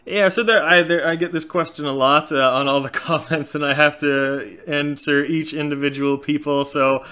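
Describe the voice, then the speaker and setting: A man in his thirties with a light Norwegian accent answers viewer questions in a casual, outspoken way. His voice is bright and crisp. He sits close to a webcam in a small indoor room. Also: on the high side, normal speed, hardly any pauses. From Norway. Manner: frank, animated, gestures a lot. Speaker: channel host. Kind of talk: casual Q&A chat.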